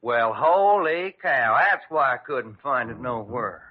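An actor playing a stubborn, gallant old-West cowboy with an American Western drawl and homespun slang, in old radio drama style. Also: male voice, mid-range voice, lively intonation, takes it slowly. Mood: puzzled. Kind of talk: 1940s radio drama acting. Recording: dull vintage radio recording.

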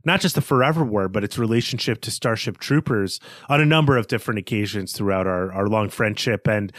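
A man co-hosting a literary podcast, musing about the book and trailing off into quiet asides. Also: fairly low pitch, a little fast, no echo, studio quality. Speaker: podcast co-host. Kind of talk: casual book discussion.

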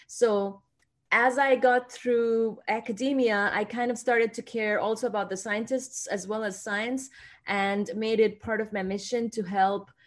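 Woman, 30s, guest speaker on a video call, chatty and self-deprecating, mid-pitched. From India. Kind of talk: informal online talk with slides.

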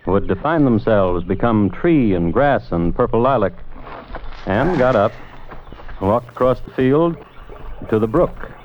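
Guy narrating old radio play, low voice, lyrical and measured, tinny vintage sound. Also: animated, slight background noise. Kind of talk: scripted radio drama narration. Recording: phone-like, muffled old radio recording.

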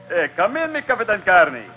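A male actor playing a sly, insinuating gangster of about thirty with a Spanish accent and halting English in a 1940s-style radio drama. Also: high voice, full character voice. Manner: insinuating, chuckling, halting foreign phrasing. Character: sly, chuckling gangster lieutenant, man of thirty-one. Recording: tinny, faintly noisy old radio recording.

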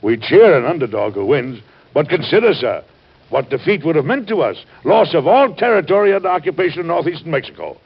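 A man playing a weary, principled middle-aged president in a historical radio drama, speaking formally, firmly and with measured delivery. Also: on the high side, sing-song, slightly rough, exaggerated voice. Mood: stern, troubled. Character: weary, principled American president, stern and exacting. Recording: dull lo-fi vintage radio recording.

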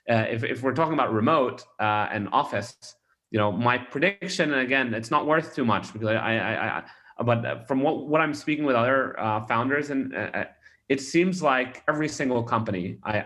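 A man's voice: fairly low pitch, a bit bright. A man from Australia, a startup founder, answering interview questions in a rambling way with many restarts and fillers.

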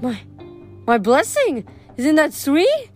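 A woman acts out a frail old storybook mother whose mind has drifted. Her voice is high and sing-song, full of exclamations and fond, wandering sweetness, with music behind it. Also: wildly swooping pitch, takes it slowly, a little mellow, exaggerated voice. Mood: dreamy, fond. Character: frail, addled old mother, dreamy and fondly doting.

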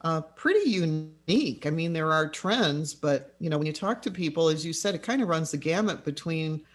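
Man, talk show co-host, friendly upbeat delivery, a bit high-pitched. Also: animated, moderate pace. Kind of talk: reading a guest introduction.

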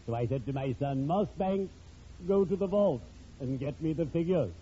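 An actor playing a genial, macabre undertaker in a 1940s radio comedy, speaking with pompous formality and drawn-out theatrical relish. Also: male voice, on the high side, lively intonation, dark tone, lightly acted. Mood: solemn, droll. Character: ghoulishly genial, pompous male undertaker, fond of puns. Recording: muffled, noisy vintage radio recording.

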